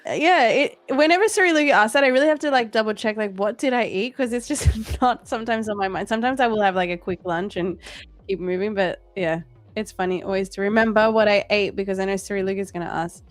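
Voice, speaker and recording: A woman's voice: mid-range voice, quick pace, dry recording. A woman co-hosting a livestream in an informal, bantering chat. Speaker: livestream co-host.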